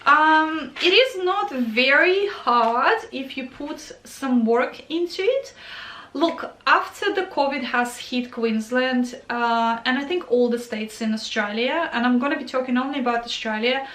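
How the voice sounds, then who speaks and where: A woman's voice: high voice, measured pace, fairly bright tone. Ukrainian-accented woman, echoey room. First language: not English. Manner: conversational, many fillers and self-corrections. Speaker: nurse answering viewer questions. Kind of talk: casual Q&A vlog.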